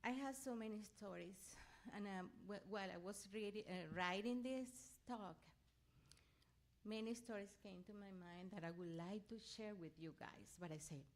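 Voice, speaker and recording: A middle-aged or older woman from Venezuela, a native Spanish speaker with a Venezuelan accent, giving an animated personal inspirational talk as a guest speaker. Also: mid-range voice, normal speed. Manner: animated storytelling, often acting out her own reactions; laughs readily while talking.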